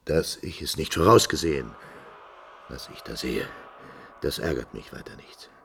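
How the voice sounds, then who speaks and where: A man's voice: very deep voice, expressive, normal speed, creaky, fairly bright tone, slight background noise, heavily acted. An actor playing a proud, anguished middle-aged father in a 1950s German radio drama, stern and theatrical, bitterly holding back rage. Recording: vintage 1950s radio recording.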